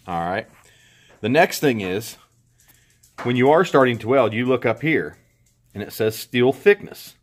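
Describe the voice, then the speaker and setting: A middle-aged man hosting a casual how-to walkthrough in his garage, relaxed and plain-spoken, with a few restarts as he explains. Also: mid-range voice, measured pace, pauses often, slight background noise. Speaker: host. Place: indoor garage.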